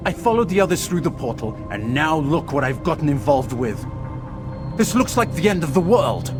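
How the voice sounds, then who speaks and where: Nervous self-styled genius tinkerer, male actor, fantasy game: very animated, boastful yet fretful, over music. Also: mid-range voice, natural voice. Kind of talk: dramatic fantasy game dialogue. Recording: studio voice under prominent music.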